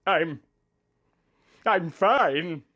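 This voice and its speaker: Storybook bride, lovestruck and soft, played by a man, lingering slowly on sentimental words. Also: a little high, expressive, exaggerated voice. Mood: tender, tearful. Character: lovestruck young bride, tender and tearful.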